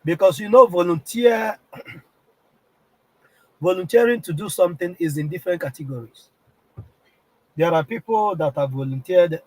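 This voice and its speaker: Nigerian male host, sick with a cold, husky, slightly high-pitched, insistent live-stream talker. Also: dry recording, rough recording. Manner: keeps clearing his throat, repetitive and insistent. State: has a heavy cold, throat strained. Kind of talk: political live-stream address.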